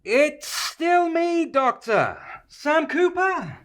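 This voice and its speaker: A woman's voice: high-pitched, sing-song, very slow, heavily acted. A woman playing a polite but harried British tech company employee in a radio play, earnest and exasperated in manner. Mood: polite, awkward.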